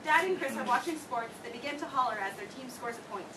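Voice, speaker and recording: A fairly high female voice reads scripted stage directions. It is echoey and distant, with loud noise over the recording. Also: animated, fast. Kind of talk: scripted narration.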